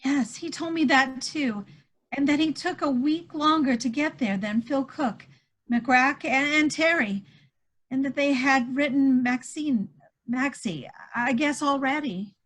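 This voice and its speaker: A woman's voice: sits high, unhurried, fairly bright tone, rough recording. A woman playing an anxious but hopeful wartime woman in a play reading, with an earnest, fretful way of talking. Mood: earnest, wistful. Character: anxious, hopeful wartime woman clinging to news of missing airman. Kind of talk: one-act play table read. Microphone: video call.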